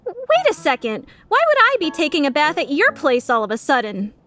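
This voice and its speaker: A woman's voice: sits high, wildly swooping pitch, a little fast, pushed and bright, full character voice. A woman playing a flustered, skeptical young woman who narrates her own story introspectively in a fan-dubbed drama. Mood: flustered protest. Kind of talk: fan dub drama with first-person narration.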